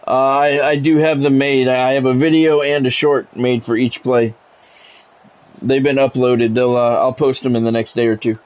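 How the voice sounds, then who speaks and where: A man's voice: medium pitch, level tone, normal speed, lo-fi sound. A man doing football play-by-play as a game streamer, in short clipped announcer-style calls mixed with casual chat to viewers.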